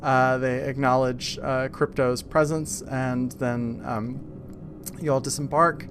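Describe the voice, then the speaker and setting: A man improvising narration as game master of a tabletop game, describing scenes in a casual, halting way. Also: medium pitch, fairly even intonation, a bit bright, slight background noise. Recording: music playing behind voice. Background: clear background music.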